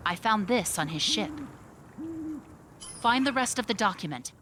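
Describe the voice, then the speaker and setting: An actress playing an earnest, resolute ally in a fantasy video game cutscene. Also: female voice, medium pitch, lively intonation, measured pace, a bit bright, a little hiss or hum. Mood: earnest resolve.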